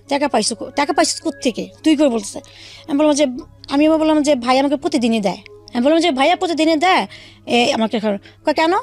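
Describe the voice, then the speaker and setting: High-pitched, quick-talking Bangladeshi woman. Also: a little mellow. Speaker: guest telling own life story. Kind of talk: personal storytelling in an interview.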